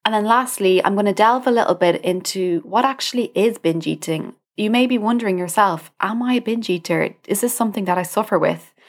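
A woman's voice: mid-range voice, brisk, hardly any pauses. A young adult woman with an Irish accent hosting a podcast, speaking informally and directly to the listener in a conversational monologue. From Ireland. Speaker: podcast host, dietitian.

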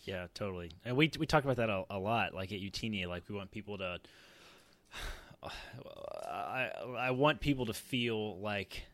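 A man's voice: on the low side, normal speed, fairly bright tone, dry recording. A man talking informally as a podcast panelist, thinking aloud in casual conversation. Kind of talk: casual chat.

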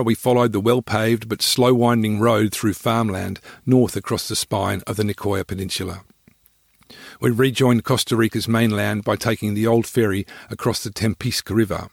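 Low, husky man narrating a travel story. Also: no echo, studio quality. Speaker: storyteller and podcast host reading own travel memoir. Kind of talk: scripted first-person travel narration.

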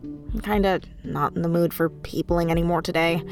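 A woman's voice: on the low side, steady tone, normal speed, soft background music, natural voice. A woman playing a casual, playful, introverted friend in a naturalistic audio drama, teasing and informal.

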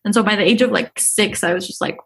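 A woman's voice: medium pitch, fast talker, gravelly, a bit bright. A young woman from Egypt, a guest chatting casually in an interview, talking with many fillers and restarts. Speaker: guest, YouTuber.

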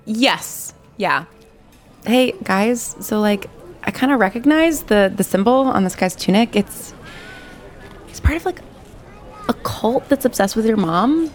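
A woman's voice: on the high side, animated, measured pace, long pauses. Casual woman player over faint music. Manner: colloquial, frequent "like". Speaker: tabletop game player. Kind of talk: casual chat, in-character role-play.

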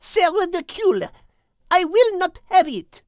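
Woman putting on a prim french hen, high and fussy, storybook style. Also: sing-song, slow, a bit bright, no room sound, clean studio sound, full character voice. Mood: outraged. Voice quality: mock French phrases.

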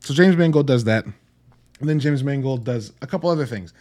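A man's voice: mid-range voice, fairly quick, a little mellow. A man hosting a podcast, giving a casual, conversational solo film review in a blunt, casually profane way with rhetorical asides. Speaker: podcast host reviewing films.

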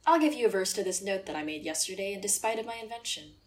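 A woman's voice: medium pitch, animated, fast, natural voice. Woman as a wry, melancholy courtier. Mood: wry, disdainful. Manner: wry, insistent, disdainful. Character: melancholy, sardonic courtier, a brooding cynical man. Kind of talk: radio play Shakespeare acting.

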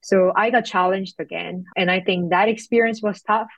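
A woman's voice: medium pitch, fairly even intonation, normal speed, dry recording. A young woman from South Korea, a guest in an interview, speaking thoughtfully and reflectively with frequent fillers. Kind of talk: interview conversation.

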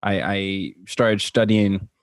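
A man from Nigeria, raised across several countries, speaking as an interview guest in casual, personal storytelling with an animated manner. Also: on the low side, takes it slowly, dry recording, studio quality. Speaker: interview guest, cultural translator and consultant.

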